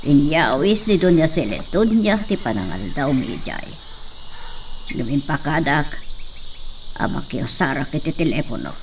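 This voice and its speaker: A woman's voice: sits low, expressive, a little slow. An actress playing a haughty, scolding rich lady in an Ilocano radio drama. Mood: annoyed. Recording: distant mic, clear insect noise.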